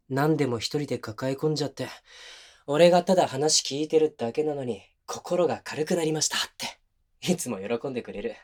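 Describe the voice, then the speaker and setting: A man performs a young boyfriend in an intimate situation-voice drama. His mid-pitched voice is conversational and soft-spoken, with natural pauses. He sounds like someone considerate to others yet oblivious to his partner. Faint music plays behind him. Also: lightly acted. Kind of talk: intimate situation-voice audio drama, naturalistic one-sided dialogue.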